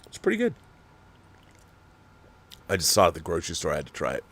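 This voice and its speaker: A man's voice: low voice, huge pitch swings, fast talker, fairly bright tone, breathy edge, no echo. A man hosting an online talk show, speaking in an emphatic, conversational and opinionated way as he reads and answers viewer mail.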